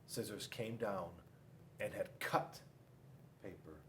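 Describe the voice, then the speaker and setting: A man reading a children's storybook aloud in an animated style, with dramatic emphasis and drawn-out words. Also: lowish voice, background noise. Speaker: police school resource officer reading to children.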